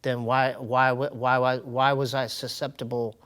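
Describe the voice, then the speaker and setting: A man talks casually as a guest on an interview show. He is candid and animated, leaning on slang as he goes. His voice sits at an ordinary middle pitch for a man. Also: moderate pace, clear, resonant tone. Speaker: podcast guest, comedian and hip-hop artist.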